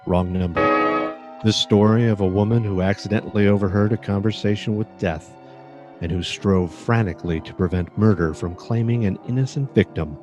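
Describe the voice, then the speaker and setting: Man as ominous radio host, low voice, portentous, theatrical pauses, 1940s suspense style, music behind. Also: darkish tone, lightly acted. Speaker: host and narrator.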